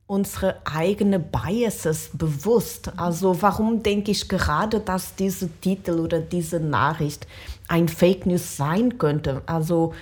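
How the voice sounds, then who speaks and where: A woman with a Portuguese accent speaks in a deep register at an unhurried pace. She answers interview questions reflectively, often pausing to find the right word. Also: darkish tone, husky. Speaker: professor of language education, interview guest.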